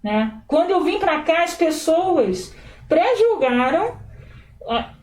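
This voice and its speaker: A woman's voice: extremely high pitch, takes it slowly, roomy sound. A woman from Rio de Janeiro hosting an interview, telling stories expressively. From Brazil, Rio de Janeiro. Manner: expressive, animated storytelling. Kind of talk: conversational interview.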